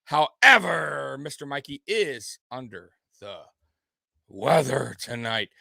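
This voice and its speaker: A man's voice: mid-range voice, sing-song, slow pace, gravelly, bright, forceful tone, no echo, clean studio sound. A man hosting a casual sports recap show, talking loosely and rambling, reading from a live chat, a little tipsy. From Detroit area. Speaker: host.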